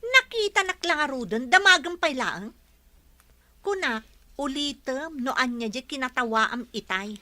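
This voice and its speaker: A sharp, high-voiced bossy madam. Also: female voice, animated, measured pace, dry recording, heavily acted. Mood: suspicious, irritated. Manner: sharp, demanding, interrogating. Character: bossy, jealous lady of the house Salud. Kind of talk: Philippine radio drama acting.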